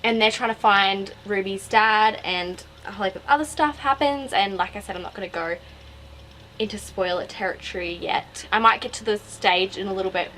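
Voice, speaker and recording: A woman's voice: medium pitch, moderate pace, a bit bright, a little hiss or hum, rough recording. A young woman with an Australian accent, talking casually and digressively to camera as a book review vlogger. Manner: rambling, digressive, self-interrupting.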